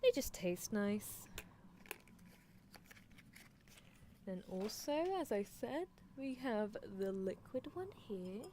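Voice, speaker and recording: A woman's voice: medium pitch, lively intonation, unhurried, slight background noise, lightly acted. A woman playing a possessive, coolly unethical female mad scientist in a live ASMR roleplay, coaxing the listener with theatrical delivery. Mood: playful, musing. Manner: languid, theatrical, coaxing, with soft chuckles.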